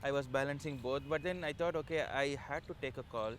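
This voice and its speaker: A man's voice: mid-range voice, fairly bright tone, a little hiss or hum. A man with a Tamil Indian English accent, a comedian answering interview questions casually with frequent restarts. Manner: rapid, hesitant, lots of restarts. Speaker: comedian guest.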